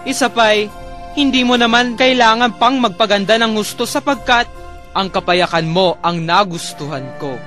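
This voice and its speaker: Casting: actor as courtly, adoring royal husband, high male register, formal radio-drama delivery over music. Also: moderate pace, sharp tone. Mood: chiding. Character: doting, patient sultan and husband. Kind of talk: melodramatic radio-drama acting. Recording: music behind.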